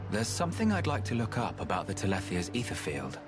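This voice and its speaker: An actor playing a weary, level-headed male researcher in a video game, speaking in a measured, matter-of-fact way. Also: on the low side, huge pitch swings. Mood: thoughtful. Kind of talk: video game cutscene acting. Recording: music behind the voice.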